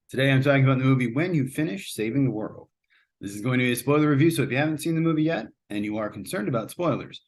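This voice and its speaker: Man casually reviewing films, halting and conversational. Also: mid-range voice. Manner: halting, loosely conversational, trailing asides. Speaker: host, film reviewer. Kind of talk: casual unscripted movie review.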